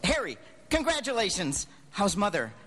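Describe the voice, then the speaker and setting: An actor playing an earnest, excitable small-town family man with a stammering manner in a live radio drama. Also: high voice, normal speed, exaggerated voice. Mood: excited, hurried.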